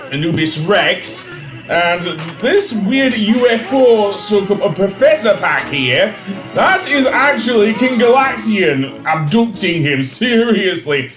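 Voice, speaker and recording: Echoey, higher-pitched man commenting on games. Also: fairly bright tone. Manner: halting, rambling. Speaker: video game reviewer. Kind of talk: casual gameplay commentary. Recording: roomy echo, faint music behind.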